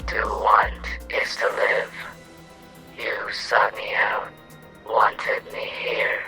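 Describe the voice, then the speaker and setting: Slow, croaking, whispery enigmatic student. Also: male voice, fairly low pitch, bright, forceful tone, full character voice. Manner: slow, solemn, cryptic whispering delivery. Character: cryptic gloomy enigmatic student who calls himself nothing. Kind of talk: audio drama acting.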